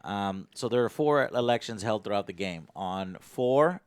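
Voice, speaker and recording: A man with a fairly low voice hosts a tabletop game. He explains rules in a casual way, partly reading them out, and the sound is close and dry with little room in it. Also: expressive, a little slow, clean tone, no echo. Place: indoor game table on a livestream.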